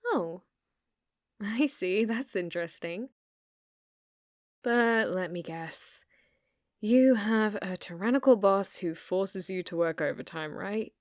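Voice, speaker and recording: Slow, soft-spoken British shop girl played by a woman. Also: medium pitch, lively intonation, no room sound, studio quality. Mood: curious, concerned. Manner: hesitant, apologetic, solicitous, with soft giggles. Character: sweet, shy shop clerk woman, secretly obsessive and possessive. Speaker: ASMR roleplay performer. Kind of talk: soft-spoken ASMR roleplay acting.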